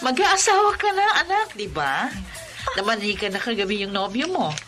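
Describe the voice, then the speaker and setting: Woman doing affectionate mother, radio drama, bright voice, faint music. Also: medium pitch, big pitch swings, normal speed. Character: loving middle-aged mother, teasing and warm. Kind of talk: classic radio drama acting.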